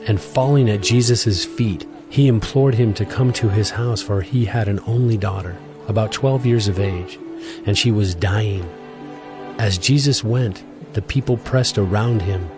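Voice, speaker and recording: A man narrating a dramatized scripture reading in a steady, even storytelling voice. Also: on the low side, unhurried. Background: music.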